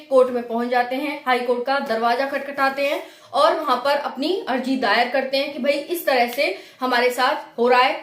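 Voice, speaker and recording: A woman's voice: sits high, roomy sound. Woman explaining news to viewers. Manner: conversational, often checking with rhetorical questions. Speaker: host of a government exam news channel. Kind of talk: explainer talk to viewers, informal.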